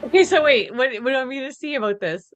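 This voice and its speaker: A woman co-hosting a reaction podcast, chatting casually with an animated, blunt, opinionated manner. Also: high-pitched, fast, no room sound, soft background music. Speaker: podcast co-host.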